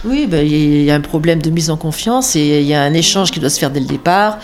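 A woman volunteer calmly explaining how she builds trust with learners. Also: deep voice, a little fast. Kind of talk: interview answers.

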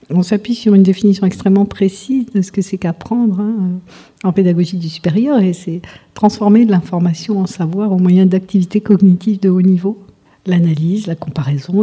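A woman's voice: on the low side, mellow, breathy edge. A woman explaining as a university teacher in an interview, in a didactic, emphatic way.

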